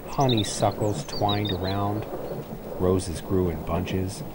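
Deep-voiced, unhurried man reading a storybook. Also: steady tone. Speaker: storybook reader. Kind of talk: rhyming children's story read-aloud. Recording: clearly noisy added ambient sound effects. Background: sound effects, ambience, animal sounds.

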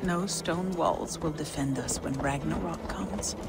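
Grave giantess scholar from a video game. Her voice sits a little low, formal and earnest, weighed down by duty. Loud music plays behind her. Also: a little slow. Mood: wistful, solemn. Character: grave, burdened giantess scholar, dutiful king's daughter. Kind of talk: video game dramatic acting.

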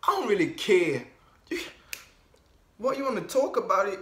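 A man's voice: very animated, normal speed, full character voice. A man playing a brash, ranting young boyfriend. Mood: defiant. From Cameroon. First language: Bafang. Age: young adult. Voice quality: loud, high-pitched, defiant ranting. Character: brash young man dumping his girlfriend by phone. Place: small room indoors. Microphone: camera at desk distance. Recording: roomy echoey room.

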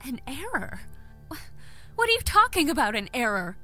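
A woman's voice: high-pitched, big pitch swings, moderate pace, sharp tone, full character voice. An actress playing a distressed, pleading captive woman in an audio drama, emotional and defiant. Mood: confused. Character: distressed, pleading female captive insisting she is human. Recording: music under the voice.

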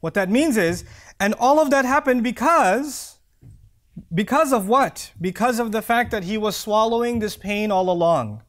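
A man in his thirties giving an expressive Friday sermon in a small prayer hall, reciting verses and then explaining them. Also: high-pitched, moderate pace. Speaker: preacher. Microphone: lapel mic.

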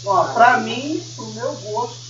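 A man's voice: extremely high pitch, takes it slowly, far from the mic. A middle-aged man with a Brazilian accent, a chef giving casual, slangy, hands-on cooking instruction to an apprentice. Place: busy restaurant kitchen, indoors. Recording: echoey, noisy, muffled kitchen pickup from afar. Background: loud clatter of dishes and pans.

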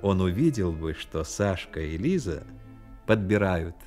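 An actor narrating a Soviet radio play as an earnest, emotive storyteller. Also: male voice, low-pitched, lively intonation, a little slow, over loud music. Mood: reflective.

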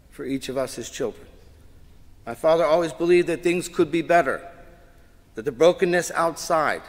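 A middle-aged man, a priest, delivering a funeral homily from the pulpit in a measured, solemn way, rising in emphasis at key points. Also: a little high. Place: large church, indoors. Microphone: pulpit microphone. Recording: long church reverb.

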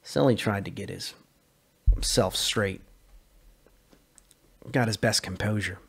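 A man's voice: on the low side, slow pace, vocal fry, fairly bright tone, slightly rough. A man reading a short story aloud as narrator, with theatrical, emphatic phrasing and the odd stumble.

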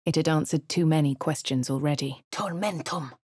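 A woman's voice: sits low, moderate pace, a little mellow, dry recording. An actress voicing a posh female adventurer in a fantasy game, narrating and remarking in a refined, dry manner. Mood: dry, composed. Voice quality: crisp upper-class English diction. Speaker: player character. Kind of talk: game voice lines.

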